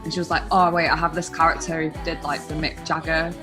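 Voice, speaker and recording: A woman's voice: sits low, level tone, quick pace. A woman speaking casually as a band's singer being interviewed. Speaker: singer in a band, interview guest. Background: clearly audible music.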